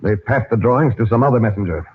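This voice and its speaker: An actor playing a kindly but authoritative uncle and secret investigator, brisk and questioning, in old-time radio drama style. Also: male voice, fairly low pitch, animated, heavy background noise, lightly acted. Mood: intent, probing. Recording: tinny band-limited old radio recording.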